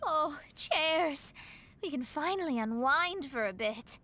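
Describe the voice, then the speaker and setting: A woman's voice: high voice, expressive, slow, sharp tone, heavily acted. A woman voicing a clever, teasing young woman in a video game.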